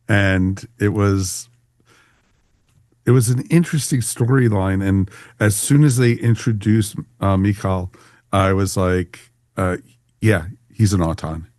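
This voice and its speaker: A man with a low voice hosts a relaxed review discussion. He talks conversationally with fellow panelists. The sound is dry, with little room around it. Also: no echo. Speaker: podcast host. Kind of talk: casual panel review chat.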